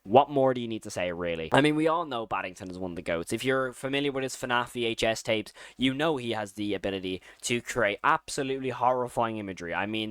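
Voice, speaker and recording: Male online host, medium-low pitch, crisp and dry sound, casual, opinionated and enthusiastic delivery with informal slang. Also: a bit bright, no echo. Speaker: YouTube host ranking horror series.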